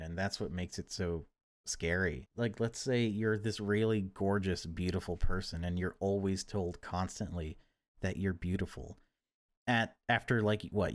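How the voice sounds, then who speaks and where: A man hosting a gaming video, speaking over a horror visual novel he is playing. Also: sits low. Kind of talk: dramatic narration of game text, then casual commentary. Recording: music behind the voice. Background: game music.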